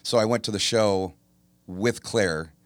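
A man in his forties, a tribute singer with a deep voice, talking as a guest in a studio in a relaxed, rambling way with many fillers. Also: unhurried, dry recording. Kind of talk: casual interview chat.

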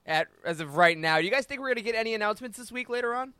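An enthusiastic male host chats casually in a high, bright voice. He sounds playful and close to the mic, with a dry sound. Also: lively intonation, rapid-fire, smooth, dry recording. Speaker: podcast host. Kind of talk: casual, excitable panel chat.

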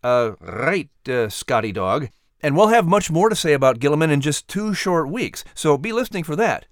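Guy announcer type reading a scripted show, voice on the high side, very lively, no room sound. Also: moderate pace, no room sound, clean studio sound. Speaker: announcer and audiobook narrator. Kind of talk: scripted narration and comic announcing.

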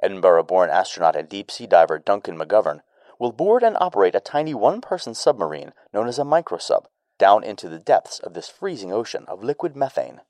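A man playing a formal documentary reporter who gives measured scripted narration about a space mission. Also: on the low side, very animated, darkish tone, no echo. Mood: informative.

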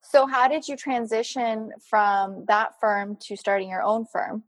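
Bright-voiced woman hosting a podcast. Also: a little high, a little slow. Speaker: podcast host. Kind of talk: scripted intro, then interview questions.

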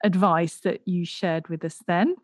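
A woman's voice: fairly low pitch, steady tone, unhurried, no echo, studio quality. A woman hosting a podcast, interviewing conversationally while recording under a blanket. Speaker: podcast host.

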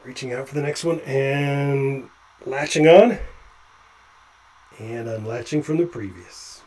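An actor playing a nervous, chatty male doctor climbing along a ship's hull, halting with stammering restarts. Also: medium pitch, a little mellow, natural voice. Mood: anxious. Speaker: physician on a spacewalk. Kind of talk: audio drama acting.

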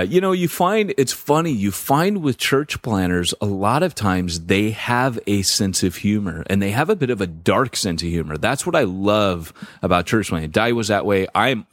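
A man co-hosting a podcast in casual banter, joking and self-deprecating, with a deep voice and lots of filler words. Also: lively intonation, moderate pace, few pauses, no echo. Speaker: podcast co-host.

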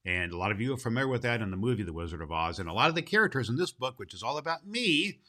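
Male performer as grandfatherly Christmas gift-bringer, jolly and hearty; forceful bright voice, swooping intonation, deliberate storyteller phrasing for kids. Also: medium pitch, sing-song. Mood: cheerful, explaining. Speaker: host of a children's Christmas story show. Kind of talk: hearty in-character greeting and storytelling for children.

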